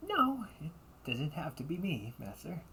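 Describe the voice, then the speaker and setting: A man's voice: on the high side, wildly swooping pitch, measured pace, gravelly, bright, forceful tone, a little hiss or hum, heavily acted. A man acting the part of a young butler cafe waiter in an audio roleplay, with formal, courteous service talk.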